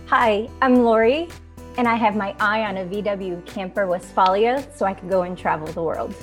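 A woman's voice: mid-range voice, normal speed, faint music behind. A woman from Montreal whose first language is Italian, chatting casually as a podcast co-host. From Montreal, Canada. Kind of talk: casual, unscripted chat.

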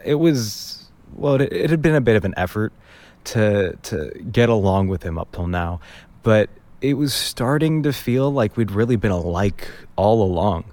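A somewhat low-voiced, grumbling young man played by a male actor in an audio drama. He speaks conversationally, a little hesitantly, with dry sarcasm. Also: lively intonation, moderate pace, darkish tone, lightly acted. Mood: hesitant, reflective. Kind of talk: naturalistic audio drama narration and dialogue.

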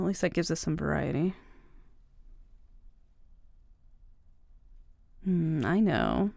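Young woman, voice actress, creaky voice, teasing older-sister type, casual halting delivery, intimate roleplay, very dry recording. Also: fairly low pitch, darkish tone, no room sound. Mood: playful teasing. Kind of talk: intimate ASMR-style romantic audio roleplay.

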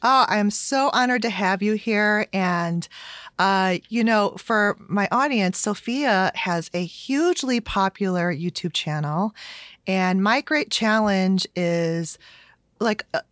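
A woman's voice: medium pitch, takes it slowly, a bit bright, dry recording, studio quality. A woman hosting a casual podcast, chatty and full of fillers. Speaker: podcast host.

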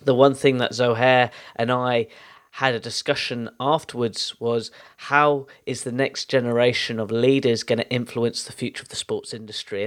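Earnest male podcast host over music. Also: medium pitch. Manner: earnest, emphatic, motivational. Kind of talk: podcast hosting and outro monologue to listeners.

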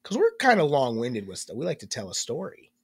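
A man's voice: mid-range voice, fairly quick, breathy edge, no echo. A young man co-hosting a podcast in casual chat, congested and stuffed up, rambling and cutting himself off as he talks. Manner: rambling, self-interrupting, animated. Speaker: podcast co-host.

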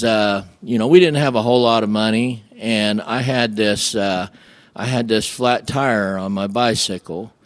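An American man from Illinois speaking as a radio guest, telling personal stories in a casual, halting, folksy way. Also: on the low side, no room sound. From Illinois, United States.

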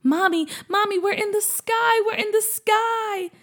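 A woman's voice: sits high, normal speed, pitched above the performer's own voice. A woman voicing a young boy on his first plane ride, nervous and then amazed. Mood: excited.

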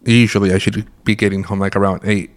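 A young man co-hosting a casual podcast, talking with a raspy just-woke-up voice and joking and laughing as he tells stories. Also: on the low side, level tone, quick pace. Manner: joking, laughs often, rambling storyteller. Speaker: podcast co-host. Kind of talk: casual chat.

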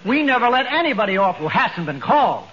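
A man's voice: very high-pitched, very animated, a little fast. A man acting a brusque, pompous government official, officious and easily flustered, in 1940s radio comedy. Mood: outraged. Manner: officious, clipped, exasperated. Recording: dull 1940s radio recording, faint noise.

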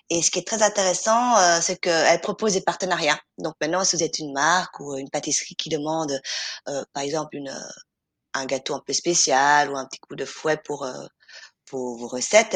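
A woman co-hosting a podcast, chatting casually with a stuffy cold and many hesitant fillers. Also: lowish voice. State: has a cold. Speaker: podcast co-host. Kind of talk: casual chat.

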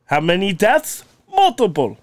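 A man's voice: high-pitched, wildly swooping pitch, no room sound. Man hamming up a mock Russian accent. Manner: joking, hammy, deliberate phrasing. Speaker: podcast co-host. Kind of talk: casual podcast chat.

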